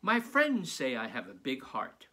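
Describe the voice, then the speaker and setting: A man's voice: mid-range voice, wildly swooping pitch, unhurried, pushed and bright, exaggerated voice. A man voicing a friendly, chatty second-grade vegetarian zombie boy in a confiding storybook narration.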